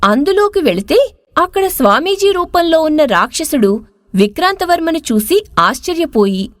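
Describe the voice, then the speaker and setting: A woman narrating a scripted moral story in an expressive storytelling manner. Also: very high-pitched, normal speed, no echo, studio quality.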